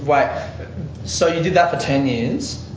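A man's voice: fairly high pitch, unhurried. A man hosting a casual interview, rambling and joking with plenty of swearing. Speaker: podcast host, comedian. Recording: roomy dull recording with crowd noise.

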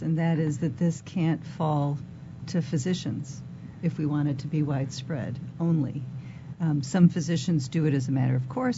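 Deep-voiced woman panelist, measured delivery, muffled line with audible hum. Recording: dull lo-fi audio with background hum.

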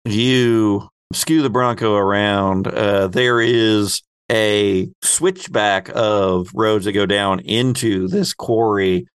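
A man acting as game master, improvising descriptive narration for a tabletop game in a halting manner. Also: lowish voice, fairly even intonation, clear, resonant tone, no room sound.

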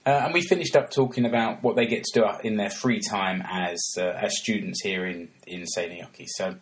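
A man hosting a casual interview podcast, talking in a relaxed way with many restarts and fillers. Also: lowish voice, fairly quick. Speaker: podcast host.

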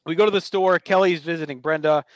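A man's voice: a little high, steady tone, quick pace, no room sound. A man hosting a podcast, casually recapping a TV episode and retelling scenes with quoted dialogue. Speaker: podcast host.

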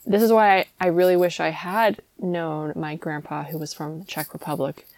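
A woman's voice: low voice, steady tone, moderate pace, darkish tone, no room sound. A young woman hosting a podcast, chatting casually and laughing often. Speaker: podcast host. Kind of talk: casual chat.

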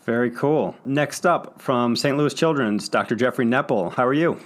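Podcast host, male, steady panel moderator reading study summaries. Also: mid-range voice, moderate pace. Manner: introduces guests, reads abstract summaries. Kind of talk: hosting a medical podcast panel.

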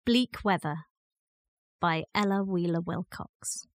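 A woman reciting a poem with measured, deliberate phrasing and pauses. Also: lowish voice, no room sound. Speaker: volunteer or staff poetry reader. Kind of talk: poetry recitation.

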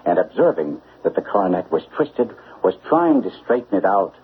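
Shrewd, exacting radio detective. Low, animated, formal delivery on a narrow, phone-like old recording. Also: male voice, moderate pace, a little hiss or hum. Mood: assured, explaining. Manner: precise, formal, incisive delivery. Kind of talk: classic radio drama acting.

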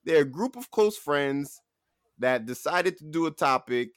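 A male podcast host with a slightly high voice and very animated intonation. He announces emphatically, stretching key words in an upbeat introduction and interview style. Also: unhurried, no room sound, studio quality.

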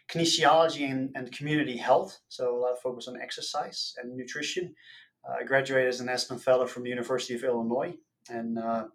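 Man, medium pitch, halting delivery, academic podcast guest, casual explanations. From the Netherlands. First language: Dutch. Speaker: podcast guest, nutrition professor. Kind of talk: casual explanatory interview talk.

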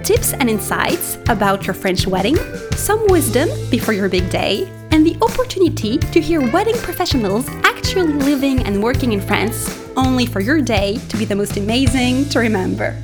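A woman's voice: a little high, big pitch swings, moderate pace, music in the background. A woman announcing the opening of a podcast with an upbeat, scripted delivery. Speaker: show announcer. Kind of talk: scripted show intro.

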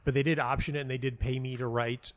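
A man speaking as an interview guest, telling personal anecdotes casually in a halting way, interrupting himself and repeating words for emphasis. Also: medium pitch, level tone. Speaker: guest, playwright and audio dramatist.

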